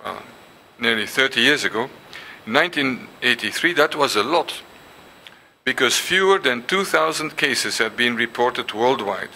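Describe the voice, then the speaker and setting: Older Dutch man lecturing, bright voice. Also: medium pitch, animated, moderate pace, slight background noise. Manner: hesitant, many ums and restarts. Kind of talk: symposium lecture with reading aloud. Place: lecture hall.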